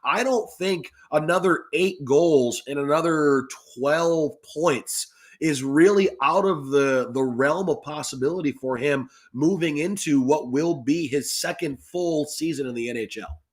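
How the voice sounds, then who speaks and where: A man's voice: a little high, measured pace. A man talking animatedly as a sports podcast guest, with an emphatic, playful delivery like a salesman's. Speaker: guest, radio play-by-play announcer.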